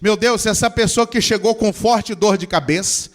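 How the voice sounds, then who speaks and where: A man's voice: high-pitched, normal speed, soft background music. A man, a pastor, leading a church in a fervent healing prayer and commanding sickness to leave. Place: church service.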